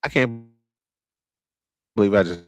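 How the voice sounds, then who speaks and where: A man's voice: lowish voice, animated, unhurried. A man calling live baseball play-by-play in an old-school broadcast manner, with some wordplay. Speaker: play-by-play announcer.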